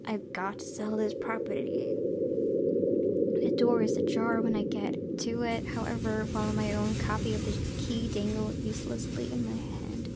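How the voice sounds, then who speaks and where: A woman reading a game's story aloud and adding casual commentary as she plays. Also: medium pitch. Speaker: gaming video creator. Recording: loud background noise, game ambience.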